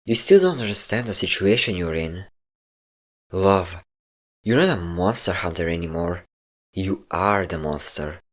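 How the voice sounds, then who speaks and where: A man playing a possessive, mocking vampire lord in an intimate audio roleplay, with a smug, teasing manner and drawn-out endearments. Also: low-pitched.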